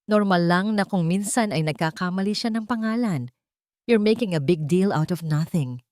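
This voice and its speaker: A woman's voice: fairly low pitch, expressive, normal speed, a little mellow, no echo, studio quality, lightly acted. A woman voicing an irritated, defensive wife and daughter in a story, curt and dismissive in manner.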